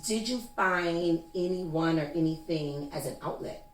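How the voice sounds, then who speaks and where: A woman hosting an interview, asking gentle probing questions and affirming often. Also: low-pitched, unhurried, roomy sound, recorded from a distance, rough recording. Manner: affirming, frequent back-channel yeses, gentle probing questions. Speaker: interview host. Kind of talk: conversational interview.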